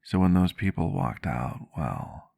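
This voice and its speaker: A man playing a reflective, gentle vampire doctor who tells a story in measured, old-fashioned phrasing for an ASMR roleplay. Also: low-pitched, lively intonation, creaky, breathy edge, heavily acted. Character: male vampire doctor, ageless but youthful-seeming, reflective and gentle. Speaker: roleplay character telling a story.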